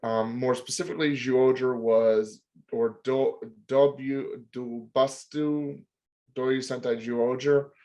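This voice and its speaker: A man's voice: medium pitch, steady tone, takes it slowly, mellow. A young man co-hosting a casual chat, explaining at length with many fillers and hedges. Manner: explanatory, hedging, lots of fillers. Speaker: podcast co-host.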